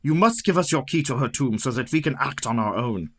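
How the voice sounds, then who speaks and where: Older learned vampire hunter, grave, formal, deliberate delivery, medium pitch, radio play. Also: male voice, lively intonation. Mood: urgent.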